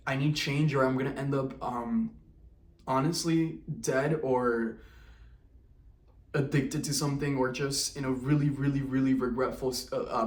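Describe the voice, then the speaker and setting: A man in his twenties talking candidly and haltingly in a confessional vlog, restarting often. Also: medium pitch, far from the mic. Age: 20s. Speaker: vlogger. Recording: roomy, from the mic.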